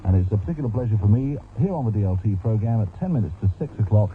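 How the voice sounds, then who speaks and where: A British man presents a live radio interview in a fairly low voice. His phrasing is wordy and jokey, like an announcer's. The sound is very thin and band-limited, like an old broadcast, with some hiss. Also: lively intonation, rapid-fire, phone-quality sound. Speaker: radio programme host.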